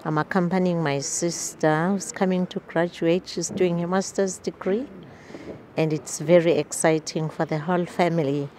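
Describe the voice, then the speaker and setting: A woman's voice: lowish voice, steady tone, moderate pace, darkish tone, slight background noise. A proud woman speaking into a handheld mic. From South Africa. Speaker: graduate's sister. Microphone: handheld furry mic.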